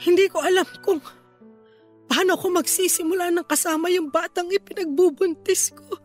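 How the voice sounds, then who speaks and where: Very high-voiced, tearful Filipino woman. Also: fairly even intonation, normal speed, faint music behind. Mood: dejected. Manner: tearful, pleading. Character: distraught, regretful pregnant older sister pleading for help. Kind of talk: Filipino radio drama acting.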